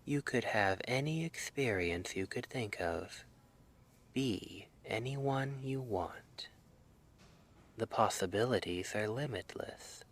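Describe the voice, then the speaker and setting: A man playing a gentle, reassuring clinician at a dream institute in an ASMR roleplay, soft-spoken and soothing, often slipping into a whisper. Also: on the low side, expressive, slow, full character voice. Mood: welcoming, promotional.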